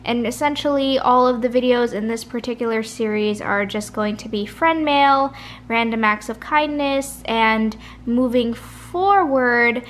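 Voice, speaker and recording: A tired woman vlogging late at night from her kitchen table, talking softly with a slight rasp and rambling in a chatty way. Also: on the high side, slight background noise. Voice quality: soft, a little raspy. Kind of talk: casual chat. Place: kitchen at home. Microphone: camera at a kitchen table.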